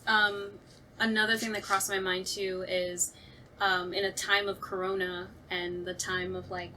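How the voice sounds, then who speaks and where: A woman in a casual chat as a writer and actor, talking in an animated, conversational way and often quoting her own thoughts. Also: mid-range voice, a little slow, slight background noise, rough recording. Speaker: writer and voice actor on a radio play talkback.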